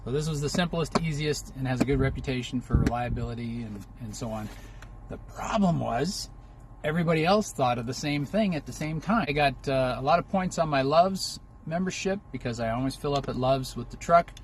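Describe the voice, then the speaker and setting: Male vlogger, trucker type: casual, rambling, folksy explainer, mid-pitched, with vehicle ambience behind him. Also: fairly quick. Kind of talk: casual unboxing vlog chat. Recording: clear background noise, vehicle ambience.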